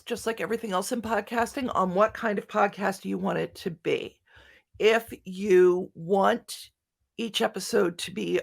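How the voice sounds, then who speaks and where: A woman's voice: medium pitch, vocal fry. A woman giving an informal online conference talk in a chatty, self-deprecating way, with joking asides. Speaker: conference speaker, writer and podcaster. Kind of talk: informal conference presentation and question answering.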